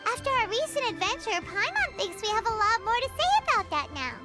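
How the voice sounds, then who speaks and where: A woman voicing a tiny, childlike, excitable and talkative companion in a video game, with a squeaky voice and exclamatory manner. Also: fairly quick, over loud music. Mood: earnest, surprised. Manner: refers to self in third person, exclamatory. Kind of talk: animated video game voice acting.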